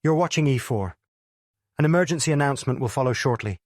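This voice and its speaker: A man speaks as a television continuity announcer in a very dry recording. His medium-pitched voice delivers scripted emergency news in a formal, measured way. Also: lively intonation, dry recording.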